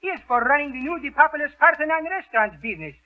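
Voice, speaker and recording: High-pitched Greek restaurateur, mangled English. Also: male voice, lively intonation, racing. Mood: genial, garbled. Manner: garbled grammar, malapropisms. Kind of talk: 1930s radio comedy acting. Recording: muffled, phone-like 1930s radio recording.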